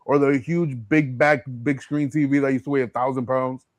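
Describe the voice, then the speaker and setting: A man's voice: medium pitch, fairly even intonation, moderate pace, dry recording. A middle-aged American man hosting a podcast in casual, slangy, streetwise conversation. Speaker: podcast host. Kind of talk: casual chat.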